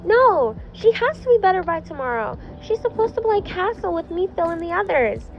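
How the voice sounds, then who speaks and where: A bossy little girl, acted by a woman reading a story, pitched very high and sing-song. She pleads and teases like a pushy child, heard through dull audio with music. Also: sing-song, moderate pace, slight background noise, exaggerated voice. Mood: demanding. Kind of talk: storybook-style character voice in fan fiction reading.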